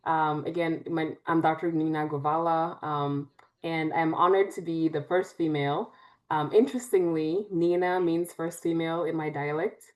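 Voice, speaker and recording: A woman's voice: low voice, steady tone, moderate pace, darkish tone, rough recording. A young woman from Cameroon, a psychiatrist, answering interview questions with frequent "um"s. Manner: frequent "um" before phrases. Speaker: guest, psychiatrist.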